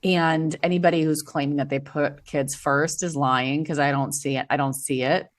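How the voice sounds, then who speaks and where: A woman with a low, grounded voice speaks in a casual podcast conversation. She is blunt and emphatic, pressing each point firmly. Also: steady tone, moderate pace. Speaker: podcast guest, former teacher.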